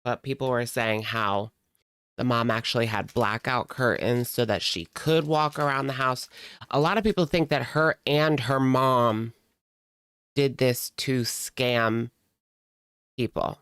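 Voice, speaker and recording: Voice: mid-range voice, measured pace, fairly bright tone, smooth, no echo, studio quality. A streamer giving casual live commentary in a blunt, sarcastic, conversational way. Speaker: reaction streamer.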